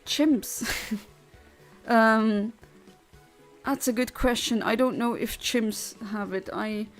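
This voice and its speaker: Gravelly woman. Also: fairly high pitch, steady tone, slow, soft background music. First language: Luxembourgish. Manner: blunt, literal and chatty, with many restarts. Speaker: streamer. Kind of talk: casual chat answering a livestream chat.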